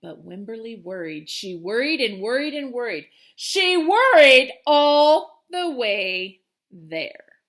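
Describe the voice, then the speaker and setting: A woman teacher reading a children's storybook aloud with expressive, emphatic storytelling. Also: fairly high pitch, slow.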